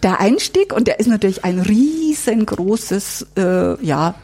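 A woman talks like a curator walking someone through an exhibition. Her tone is mellow and relaxed, at an average pitch for a woman. She explains conversationally and puts questions to the listener as she goes. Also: unhurried, slight background noise.

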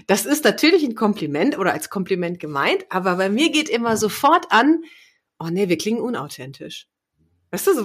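A woman co-hosting a podcast in relaxed chat, lively and teasing, laughing often. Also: mid-range voice, husky. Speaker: podcast co-host. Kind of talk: casual chat.

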